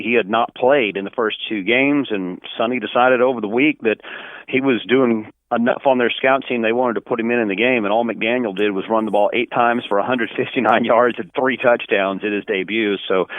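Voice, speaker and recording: A man's voice: on the low side, no echo, narrow, tinny audio. A man who works as a play-by-play announcer giving detailed, articulate sports commentary as an interview guest, conversationally.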